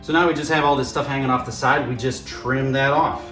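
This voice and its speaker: A man's voice: medium pitch, steady tone, fairly quick. Casual DIY man talking over music. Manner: folksy, wry, talks through each step to the viewer. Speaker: radio morning show host presenting a DIY project. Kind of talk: casual hands-on tutorial narration. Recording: music bed behind the voice. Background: clear background music.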